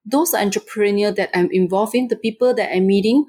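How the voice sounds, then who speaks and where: A woman from Malaysia, a native Mandarin speaker, talking haltingly in a casual interview, often saying "you know". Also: mid-range voice, a little mellow. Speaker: guest.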